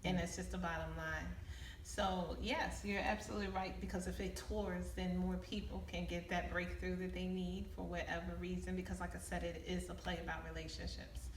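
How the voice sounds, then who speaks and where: A woman with a slightly low voice answers interview questions casually and candidly. She speaks effusively as a creator discussing her work. The recording is roomy, with the mic at a distance. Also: flat delivery, fairly quick. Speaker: playwright and producer being interviewed. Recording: echoey, distant mic, faint room noise.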